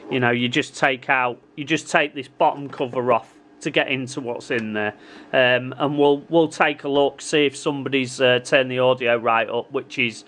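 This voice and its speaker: Higher-pitched man, casual tutorial. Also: fairly bright tone. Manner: informal, addresses viewers, thinking aloud. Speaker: repair hobbyist presenting his own video. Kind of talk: casual hands-on repair tutorial.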